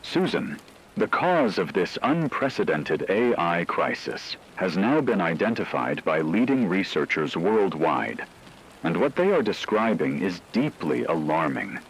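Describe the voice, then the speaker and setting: A man playing a solemn radio news reporter, delivering a scripted crisis bulletin with husky, deliberate gravity. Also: sits low, big pitch swings, heavily acted, low-quality recording. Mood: grave, urgent. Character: grave male radio newsman reporting a worldwide crisis.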